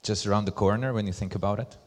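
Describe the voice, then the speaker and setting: Male presenter, Slovak accent, lower register, deliberate emphatic phrasing, live stage talk with long hall reverb. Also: fairly even intonation. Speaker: presenter, brand ambassador. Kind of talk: conference presentation. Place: large hall at a live event.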